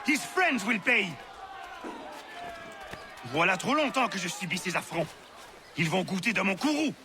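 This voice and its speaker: A man's voice: high voice, animated, normal speed, noisy background. An actor playing a hot-tempered, vengeful French colonial man with a French accent in a video game, ranting and slipping into French. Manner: impassioned, ranting, slips into French. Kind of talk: video game cutscene acting.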